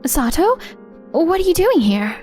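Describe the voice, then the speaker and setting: A woman's voice: very high voice, big pitch swings, a little slow, darkish tone, heavily acted. A woman playing a reserved young company president in a manga dub, businesslike and guarded about her feelings. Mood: startled. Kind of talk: anime-style manga dub acting. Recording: background music under the voice. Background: music.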